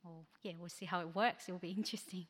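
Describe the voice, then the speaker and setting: Woman, non-native English speaker, fairly low voice, church training presenter, halting advice-giving delivery, reverberant hall. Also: steady tone. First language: not English. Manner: practical, advice-giving, with frequent hesitations. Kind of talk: informal presentation with slides. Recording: echoey hall with long reverb.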